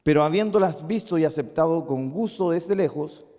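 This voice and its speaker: A male pastor with a Chilean accent preaching a sermon, moving between loud emphatic peaks and soft, musing asides. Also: fairly high pitch, normal speed. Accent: Chilean Spanish. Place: church hall. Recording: long reverb of a church hall.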